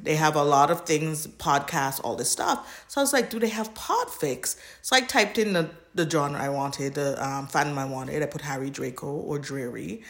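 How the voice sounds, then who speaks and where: A woman's voice: deep voice, animated, moderate pace, hardly any pauses. A woman co-hosting a podcast, chatting informally and animatedly and often acting out her own thoughts. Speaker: podcast co-host. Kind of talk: casual chat about fan fiction recordings. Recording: echoey, roomy voice pickup.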